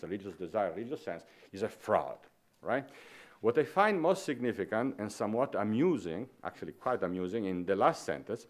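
A middle-aged man with an Italian accent gives an academic conference lecture at a podium, reading dense prose in a measured way with small restarts. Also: mid-range voice. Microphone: podium microphone.

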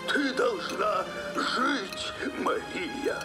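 Very slow, tragic cartoon hero. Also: male voice, high-pitched, lively intonation, loud music behind the voice, full character voice. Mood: grieving. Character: grief-stricken melodramatic male cartoon hero.

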